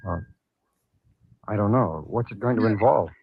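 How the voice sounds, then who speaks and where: An actor playing a worried, hesitant husband questioning anxiously in a 1950s radio drama. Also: male voice, fairly low pitch, normal speed, breathy edge. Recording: old 1950s radio broadcast, muffled narrow band.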